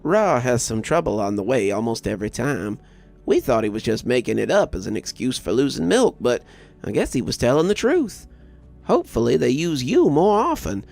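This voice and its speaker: A man reads the part of a chatty dairy worker woman in an audio story. The delivery is casual and plainspoken, in a gravelly middle-pitched voice over music. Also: very animated, normal speed, darkish tone. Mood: relieved. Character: friendly working woman at a dairy receiving bay.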